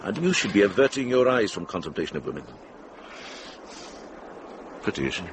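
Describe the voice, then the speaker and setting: An actor playing a wry older monk in a radio drama, gruffly kind, teasing and chiding the young. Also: male voice, lowish voice, big pitch swings, fairly quick, noisy background.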